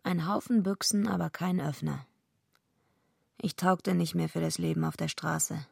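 A tough, defiant street-hardened woman wrestler tells her noir story in a radio drama. Her voice is very creaky and husky, set fairly low, and her manner is blunt, bitter and sardonic. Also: fairly even intonation, measured pace, darkish tone, no echo, clean studio sound, exaggerated voice. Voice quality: gravelly, husky. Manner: gruff, blunt, sardonic, swearing. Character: tough, foul-mouthed female wrestler living rough, bitter, defiant. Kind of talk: radio drama, first-person noir narration and dialogue.

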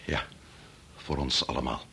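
A man's voice: a bass voice, fairly even intonation, unhurried, vocal fry, bright, forceful tone, breathy edge, full character voice. An actor playing a middle-aged, preoccupied and domineering doctor and father in a Dutch radio drama, muttering or curt. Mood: grave, strained. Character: preoccupied, domineering male doctor-scientist, father of a grown son.

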